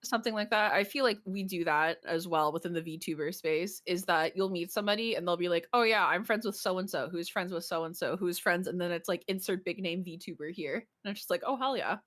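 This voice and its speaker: Woman with a lowish voice, chatting casually. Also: brisk, hardly any pauses, fairly bright tone. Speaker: interview guest, community manager. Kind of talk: casual chat.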